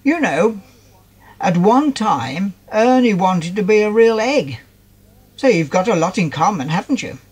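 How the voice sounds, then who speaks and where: A man's voice: high voice, big pitch swings, measured pace, slightly rough, exaggerated voice. A man voicing a kindly, confident male toy pig, reassuring and chatty, in a children's storybook.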